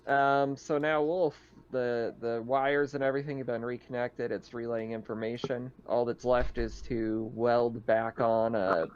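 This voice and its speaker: A man's voice: medium pitch, fairly even intonation, a little slow, few pauses. A man narrating a tabletop roleplaying game as game master in an easygoing, explanatory way, on slightly dull audio.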